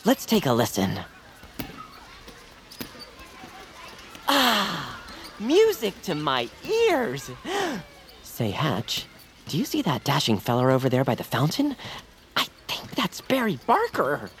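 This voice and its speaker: Excitable, swooping, folksy radio-comedy gal. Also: female voice, sits low, measured pace, slight background noise, heavily acted. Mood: contented. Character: eager, chatty, easily starstruck friendly woman. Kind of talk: old-time radio comedy acting.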